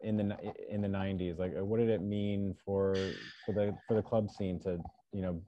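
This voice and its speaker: A man's voice: deep voice, little pitch movement, normal speed, a little hiss or hum. A man in his thirties hosting a casual online interview and putting questions in a conversational way. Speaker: interviewer, host. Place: small furnished room at home. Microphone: computer mic on a video call. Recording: dull video-call sound.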